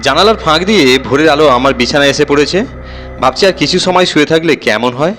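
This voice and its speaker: A man's voice: fairly high pitch, fast talker, a bit bright, soft background music. A man reading a scripted audio horror story as its narrator, telling it in the first person.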